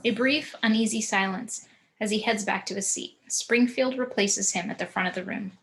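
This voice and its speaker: Woman reading scene directions, medium pitch. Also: normal speed, a little hiss or hum, rough recording. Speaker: stage direction narrator. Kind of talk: scripted narration, table read.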